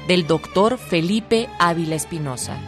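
Over prominent string music, a woman narrates a scripted radio production. Her voice sits fairly low as she announces. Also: animated, unhurried.

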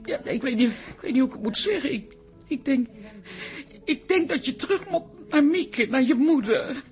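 An older grandmother with a high voice that swings expressively up and down. She is kind but fretful, hesitates and stammers over her words, as in a radio play. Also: lively intonation, normal speed, darkish tone, soft background music. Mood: pained, pleading. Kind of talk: Dutch radio drama acting.

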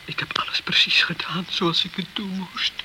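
A man's voice: high voice, little pitch movement, moderate pace, pushed and bright, whispered, low-quality recording. A man playing a defensive, frightened sailor in a crime radio drama, guarded and evasive in his answers. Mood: panicked. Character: defensive, frightened male sailor hiding the truth.

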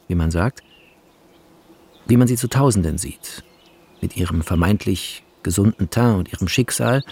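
A man reading screenplay directions in a measured, deliberate way as radio drama narration, with a husky, gravelly voice. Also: fairly low pitch, a little mellow, full character voice. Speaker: reader of film credits and screenplay directions.

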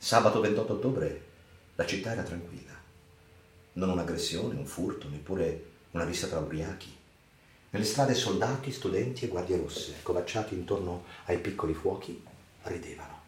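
A man reads a dramatic literary narration for radio theatre in a theatrical, emphatic, measured way. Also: sits low, slight background noise. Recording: roomy echo with music underneath. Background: music.

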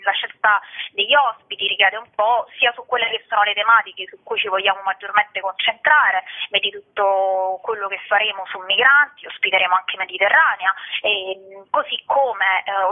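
A woman being interviewed as an event organiser, presenting a programme. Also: mid-range voice. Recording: tinny phone line.

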